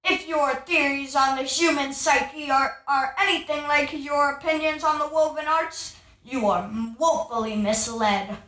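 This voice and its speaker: A woman's voice: sits high, fairly even intonation, a little slow, sharp tone. A woman playing a pedantic, haughty investigator in a stagey spooky radio play. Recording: echoey room.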